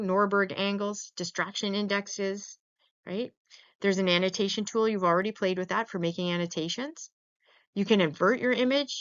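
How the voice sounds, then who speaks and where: A woman's voice: medium pitch, fairly bright tone, clean tone. Woman lecturing informally, dull lo-fi recording. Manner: conversational, checks in with "right?" and rhetorical questions. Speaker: lecturer teaching veterinary radiography.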